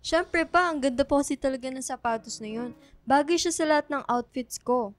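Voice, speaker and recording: A woman's voice: sits high, brisk, natural voice. A woman playing a sweet, playful college-age daughter in a Filipino radio drama, polite and lightly pleading. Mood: playful, protesting.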